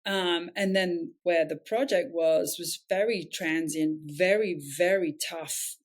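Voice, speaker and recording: A woman's voice: fairly low pitch, slow pace. A woman with an English accent, a nonprofit founder telling her story in an interview, reflective and earnest, often correcting herself mid-sentence.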